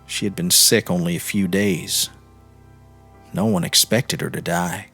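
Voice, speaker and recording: A man narrating a literary short story in a measured, expressive storytelling manner. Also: low voice. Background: music.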